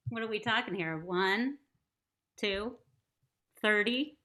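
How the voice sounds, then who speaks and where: A woman's voice: medium pitch, takes it slowly, sharp tone. A woman playing a teasing, affectionate dream girl on a date in a sitcom table read. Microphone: video call.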